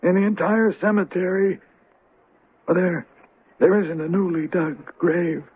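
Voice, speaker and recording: Very husky, high-voiced cemetery caretaker. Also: male voice, steady tone, unhurried, heavily acted. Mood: doubtful, respectful. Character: plain-spoken, deferential male cemetery manager. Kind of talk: old-time radio drama acting. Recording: tinny band-limited radio drama recording.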